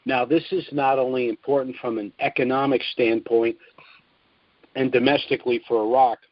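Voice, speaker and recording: Measured man on a muffled conference call. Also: mid-range voice, steady tone, no echo. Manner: measured, formal, with frequent "uh" fillers. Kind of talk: scripted briefing on a call.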